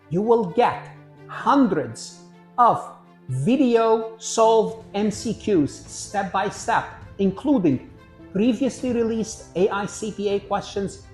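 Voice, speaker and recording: A woman reads a scripted advertisement at a slow, measured pace. Her voice sits in a noticeably echoey space. Faint music plays underneath. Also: mid-range voice, a little mellow. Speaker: promotional announcer.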